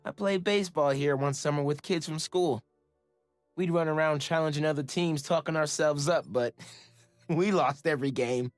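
An upbeat American teenage boy superhero voiced by a man in a video game. His voice is fairly high and youthful, earnest and casual, in a dry recording with faint music underneath. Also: normal speed, no echo, natural voice. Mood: candid, cheerful. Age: young adult. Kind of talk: game voice acting, casual reflection.

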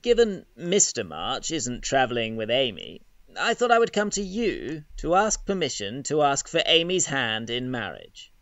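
An actor playing a polite, easily flustered young British gentleman suitor in a period audio drama, formal and hesitant. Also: medium pitch, sing-song, measured pace, dry recording, muffled recording.

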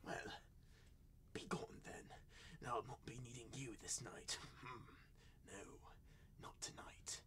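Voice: mid-range voice, steady tone, slow pace, pauses often, sharp tone, whispered. An actor playing a drunken, cruel and lecherous squire, blustering, taunting and leering in old-fashioned radio drama style.

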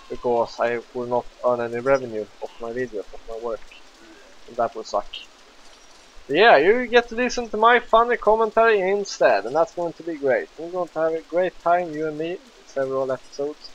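A young man from Sweden with a Swedish accent, chatting casually and excitedly as he plays a game, swearing freely. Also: medium pitch, highs cut off, slight background noise. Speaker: let's play gamer. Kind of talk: casual gameplay commentary.

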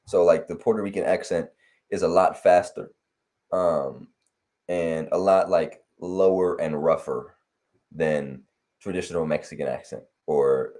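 A young man from Los Angeles in casual chat on a video call, relaxed and rambling with lots of fillers. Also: low-pitched, measured pace. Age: young adult. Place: home room on video call. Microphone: headset on video call.

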